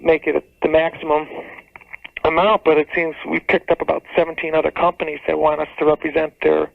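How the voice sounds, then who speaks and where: A man with a creaky voice calling a radio show, speaking casually on the telephone. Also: on the high side, flat delivery, a little fast. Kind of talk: casual phone call. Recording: tinny phone line on radio.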